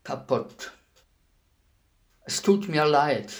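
A man playing a clumsy older burglar in a radio play, gruff and cursing, then formally apologetic. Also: fairly high pitch, animated, very slow. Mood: polite, apologetic. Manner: formally polite between curses.

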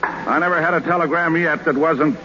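Gruff, wisecracking male ball-club manager, 1930s radio, high voice, muffled sound. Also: steady tone, fairly quick, bright, forceful tone, a little hiss or hum. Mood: sardonic. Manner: wisecracking, skeptical, slangy. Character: gruff, sarcastic male baseball team manager.

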